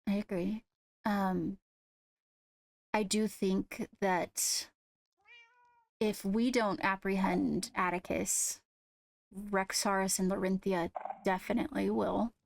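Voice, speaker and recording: A woman speaking in a measured, deliberate way with formal wording, improvising in-character roleplay dialogue. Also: medium pitch, creaky, no room sound. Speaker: roleplayer in a Minecraft court drama.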